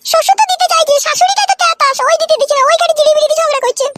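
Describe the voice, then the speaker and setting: A woman's voice: very high voice, rapid-fire, a bit bright, slight background noise. A woman voicing a naive, plaintive young village wife in a comic cartoon.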